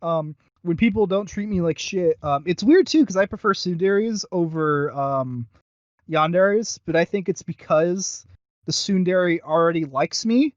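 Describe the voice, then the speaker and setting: A man co-hosting a podcast in casual chat, halting and self-deprecating, often losing his thread as he reviews. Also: high voice, expressive, dry recording, highs cut off. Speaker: podcast co-host. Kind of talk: casual chat, reviewing audio roleplay series.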